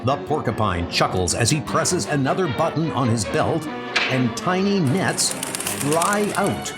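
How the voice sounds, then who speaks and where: A man's voice: medium pitch, very animated, measured pace, over loud music. A man reading dramatic scripted narration for a comic book story.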